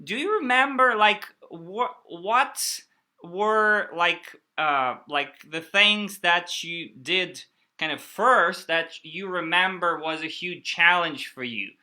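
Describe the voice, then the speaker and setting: Interview host, very slow, bright sharp tone, halting questions, many fillers. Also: mid-range voice. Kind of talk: conversational interview.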